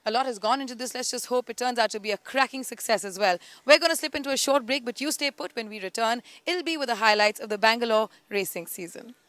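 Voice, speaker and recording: A woman hosting a racing show, delivering links briskly and upbeat. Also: a little high, a bit bright, no echo. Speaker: show host. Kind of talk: presenter links.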